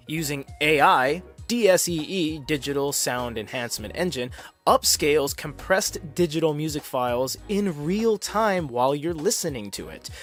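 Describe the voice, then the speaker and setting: A man narrating a scripted product review as a tech reviewer, explaining technical terms plainly and dropping wry, casual asides. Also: on the high side.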